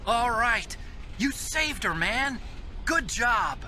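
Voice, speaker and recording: A man's voice: very high voice, lively intonation, takes it slowly, pushed and bright, a little hiss or hum, exaggerated voice. An actor playing a nerdy, earnest young male computer whiz in a video game, with a boyish manner. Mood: pleased. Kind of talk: video game cutscene acting.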